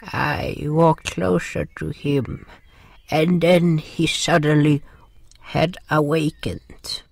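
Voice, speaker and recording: A man playing a gentle, sorrowful creature who narrates an audio drama in a deliberate, solemn way. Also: on the high side, flat delivery, creaky, dry recording, full character voice. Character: gentle, sorrowful non-human narrator River, caring companion.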